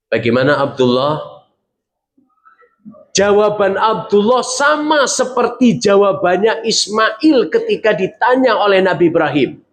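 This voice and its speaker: A middle-aged man, preaching a sermon as a story, acting out the voices he quotes and putting questions to the audience. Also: fairly high pitch.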